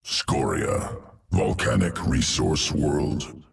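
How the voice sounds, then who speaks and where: Game creature: an emotionless alien evolution master. Very low, gravelly, slow and clipped. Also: male voice, sing-song, full character voice. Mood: clinical. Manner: clipped, speaks in terse noun fragments. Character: cold clinical male alien evolution master. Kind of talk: video game cutscene acting. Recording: distant game audio with loud music.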